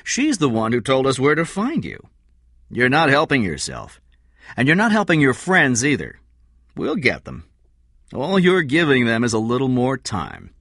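A man doing a brisk, stern police officer. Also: mid-range voice, wildly swooping pitch, a bit bright, dry recording, clean studio sound, voice pushed up, heavily acted. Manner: brisk, firm.

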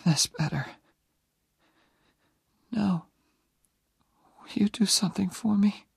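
A man's voice: sits high, slow pace, dry recording, voice pushed up, exaggerated voice. A man whispering as a frail dying young woman. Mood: fragile. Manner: breathy whisper. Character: dying young woman, weak, teasing, tender.

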